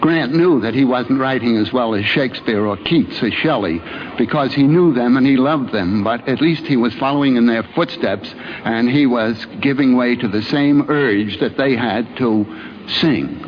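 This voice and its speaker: A man giving reflective, articulate interview remarks in a measured way for an old radio documentary. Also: medium pitch. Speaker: interviewee giving an appraisal of a friend. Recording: dull, noisy 1950s radio recording.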